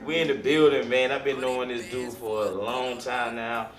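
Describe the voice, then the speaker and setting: A man's voice: medium pitch, little pitch movement, moderate pace. A man hosting a casual interview, laid-back and slangy, nudging his guest along. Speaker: interviewer, host. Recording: echoey room with music behind.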